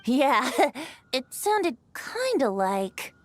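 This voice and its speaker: A woman's voice: high voice, sing-song, drawn-out words, faint music behind, heavily acted. A woman voicing a youthful, playful male bard who talks in a breezy storytelling manner in a video game. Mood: amused. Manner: light, lilting, theatrical storytelling.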